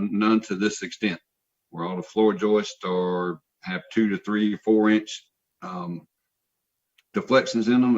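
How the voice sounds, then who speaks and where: A man's voice: lowish voice, lo-fi sound. A man, a builder addressing a hearing in a plain, polite way with a few fillers. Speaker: applicant, builder. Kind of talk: remarks at a public hearing.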